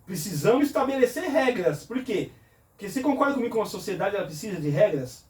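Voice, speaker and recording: A man speaks earnestly in a fairly high voice, poses question after question, and answers each one himself. The room is echoey and he sits back from the mic. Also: big pitch swings, fast talker, darkish tone. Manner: earnest, explains with rhetorical questions. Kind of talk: conversational preaching in a talk show. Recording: roomy echo, from a distance.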